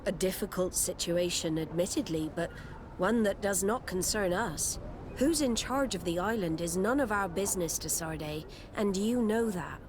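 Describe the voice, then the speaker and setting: An actress playing a pragmatic, authoritative admiral of seafarers in a video game, with a curt, commanding manner. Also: female voice, lowish voice, normal speed, noisy background, lightly acted. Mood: cool reluctance. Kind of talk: dramatic video game dialogue.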